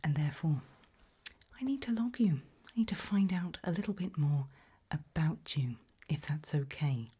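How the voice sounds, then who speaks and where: A woman playing a friendly, forgetful research scientist in an ASMR roleplay, speaking in a hesitant, polite, breathy whisper. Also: sits low, lively intonation, moderate pace, full character voice. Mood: friendly, curious. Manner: hesitant, polite, soft-spoken.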